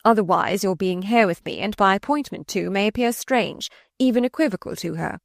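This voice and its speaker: Woman playing a gentleman suitor, storybook drama, formal, possessive, insistent emphasis, dry studio-like closeness. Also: mid-range voice, fairly quick, gravelly, dry recording, natural voice. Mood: smooth insistence. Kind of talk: dramatised audiobook reading.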